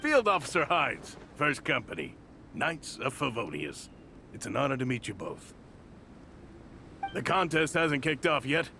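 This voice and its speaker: A man's voice: mid-range voice, sing-song, unhurried, long pauses, bright, forceful tone, a little hiss or hum, heavily acted. A man voicing a polite, formal male knight officer, acted for a game. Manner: courteous, formal. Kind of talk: game voice acting.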